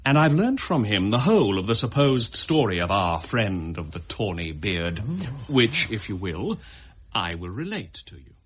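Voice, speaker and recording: Husky, animated, self-assured gentleman. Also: lowish voice, moderate pace, full character voice. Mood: resolute, jovial. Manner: eloquent, hearty, formal. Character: confident, sceptical gentleman host organising a ghost watch. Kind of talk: period audio drama acting.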